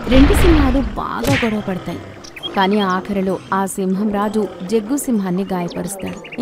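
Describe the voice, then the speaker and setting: A woman narrating a scripted children's story. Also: medium pitch.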